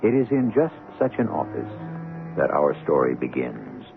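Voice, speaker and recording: A man hosting a radio mystery show, narrating from a script in a measured, formal, theatrical manner. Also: extremely low pitch, huge pitch swings, vocal fry. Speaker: host and narrator. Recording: muffled old radio broadcast recording. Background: music.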